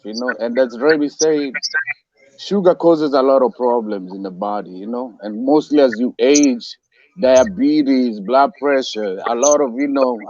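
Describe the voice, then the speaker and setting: A man's voice: mid-range voice, darkish tone, no echo. A man with an East African accent talking casually as a live-stream guest, often adding "you know", over a dull, dry call. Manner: peppers talk with "you know". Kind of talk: casual personal storytelling.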